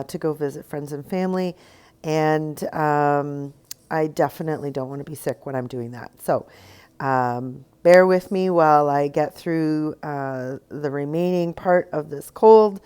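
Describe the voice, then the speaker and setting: Stuffy, low-voiced woman with a cold. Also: a little slow, dark tone. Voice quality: slightly raspy. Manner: rambling, many ums and uhs. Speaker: rock painting tutorial host. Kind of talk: casual painting tutorial narration.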